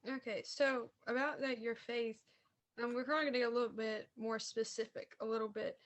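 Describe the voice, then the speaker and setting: A woman's voice: fairly high pitch, fairly even intonation, fairly quick. A woman interviewing a friend, asking questions in a casual way with fillers.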